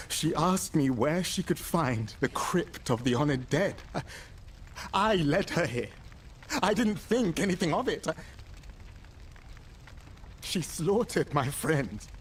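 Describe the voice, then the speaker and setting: Anguished, slow-spoken, guilt-ridden survivor. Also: male voice, fairly high pitch, lively intonation, slight background noise. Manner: halting, anguished. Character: guilt-stricken, grieving male survivor. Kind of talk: dramatic video game voice acting.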